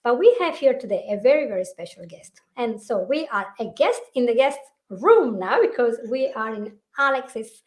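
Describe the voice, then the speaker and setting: A woman with a high, light voice hosts a relaxed live-stream conversation. She talks chattily, often repeating a word as she restarts. The sound is a plain video-call recording. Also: normal speed, few pauses. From Italy. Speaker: host, hypnotherapist. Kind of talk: casual live-stream chat.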